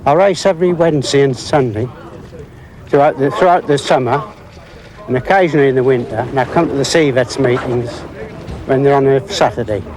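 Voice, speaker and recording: A man's voice: medium pitch, brisk, low-quality recording. Seventy-year-old man with crowd noise behind. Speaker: windsurfer. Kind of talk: interview account.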